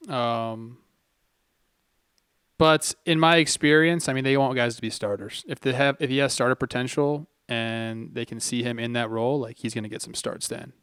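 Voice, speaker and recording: Smooth-voiced man giving casual sports analysis. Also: mid-range voice, fairly bright tone, dry recording, studio quality. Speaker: podcast co-host, former ballplayer.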